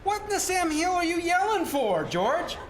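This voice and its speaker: Small-town buddy male actor, very animated high voice, folksy period radio delivery, roomy live recording. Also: unhurried, full character voice. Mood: puzzled, exclaiming. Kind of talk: 1940s radio acting. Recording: roomy, slightly noisy stage recording.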